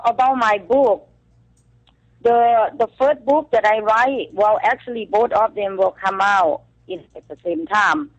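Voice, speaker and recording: A woman from Thailand with a Thai accent, calling in as a guest to a radio show and speaking halting English full of fillers. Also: mid-range voice, muffled recording. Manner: halting non-native English with frequent fillers. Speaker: call-in guest, author. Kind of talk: phone-in radio interview. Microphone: telephone.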